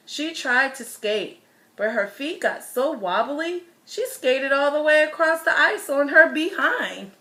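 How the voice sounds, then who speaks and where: Bright, high-voiced woman reading a storybook. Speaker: storyteller reading a children's book aloud. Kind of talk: expressive children's picture-book read-aloud.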